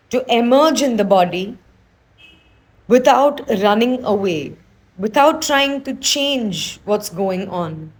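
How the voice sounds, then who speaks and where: A woman with a fairly high voice guides a meditation very slowly. She gives gentle instructions in a soothing manner, as a teacher leading a practice.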